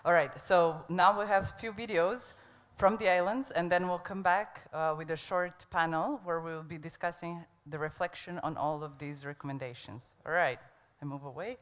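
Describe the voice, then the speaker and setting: A man's voice: on the high side, fairly even intonation, fairly bright tone, in a large hall. A man moderating a conference, passing the floor to speakers and moving the program along informally. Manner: brisk, informal, uses fillers. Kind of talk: moderating a forum. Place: conference hall on an island.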